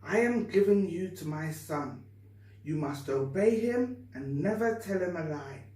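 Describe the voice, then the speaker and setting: A woman's voice: fairly low pitch, expressive, a little slow, dark tone. A woman voicing an ailing, condescending male slave master in a storybook, firm and instructing. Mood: commanding. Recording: echoey room, distant mic, faint noise.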